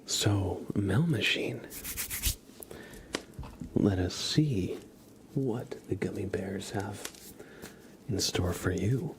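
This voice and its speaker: A man's voice: low voice, extremely slow, long pauses, background noise. A man hosting a live ASMR stream, chatting to viewers with playful, murmured role-play patter. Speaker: livestream host.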